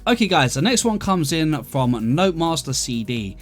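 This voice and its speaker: A man with an English accent and a slightly high-pitched voice talks casually through a gaming video. He explains things in a chatty way, speaking to his viewers as friends. Music plays behind his voice. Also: sing-song, moderate pace. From London, UK. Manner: chatty, explanatory, addresses listeners as guys. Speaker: gaming video creator and host. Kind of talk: casual gameplay commentary answering viewer questions.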